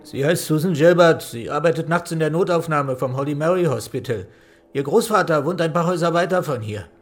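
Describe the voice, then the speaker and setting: An actor playing an odd, surly small-town mechanic in a crime radio play, dry and curt in speech. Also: male voice, on the high side, brisk, soft background music, natural voice. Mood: cowed, resigned. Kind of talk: radio drama dialogue.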